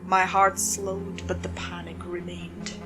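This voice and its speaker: A woman's voice: fairly low pitch, little pitch movement, music in the background. A woman hosting and narrating scary stories in a theatrical, scripted, spooky style. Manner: slow, dramatic, spooky. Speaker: horror story narrator and channel host.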